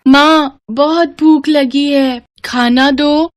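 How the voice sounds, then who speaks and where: A woman's voice: high-pitched, steady tone, very slow, pitched above the performer's own voice. A woman voicing a whining, fussy school-age child in a moral story cartoon. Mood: whiny. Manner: whining, complaining.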